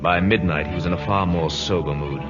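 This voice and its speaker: An actor playing a loyal, forthright naval lieutenant who narrates dramatically in an old radio play. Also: male voice, low voice, a little fast, lightly acted. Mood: grave, dramatic. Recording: dull vintage radio recording, loud music.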